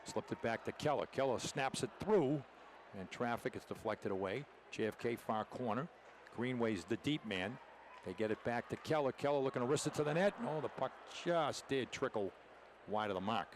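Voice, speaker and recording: A man's voice: mid-range voice, expressive, normal speed. A man calling live hockey play-by-play as a commentator, describing the action in short, clipped phrases. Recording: noisy arena broadcast, rink ambience behind.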